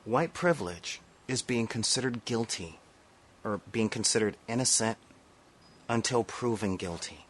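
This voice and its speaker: A man's voice: on the low side, lively intonation, measured pace, slight background noise. A middle-aged man from Los Angeles with an American accent, speaking an unscripted, earnest opinion rant to camera and repeating phrases for stress. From South Central Los Angeles, United States. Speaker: vlogger.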